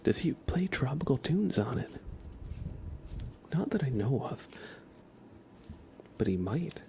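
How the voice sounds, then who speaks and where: A man hosting an ASMR livestream in a soft, hushed voice that often nears a whisper, chatting and reading in a laid-back, gently joking way with halting pauses. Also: medium pitch, big pitch swings, a little hiss or hum. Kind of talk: ASMR, casual chat and tarot reading for viewers.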